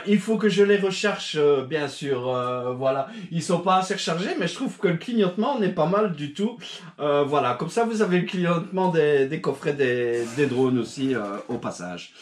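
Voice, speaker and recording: Male channel host, a bit stuffed up from a cold, chatty with lots of hesitations, recorded in a reverberant room. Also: fairly high pitch. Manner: rambling, many fillers and restarts. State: recovering from a cold. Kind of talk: casual video talk to subscribers. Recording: echoey room.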